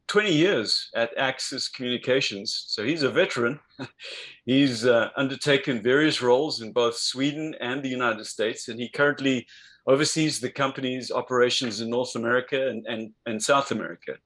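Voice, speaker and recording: Male interview host, mid-pitched voice, measured delivery with fillers, heard over a video call. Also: fairly bright tone. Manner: measured, with frequent fillers and restarts. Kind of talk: conversational interview hosting.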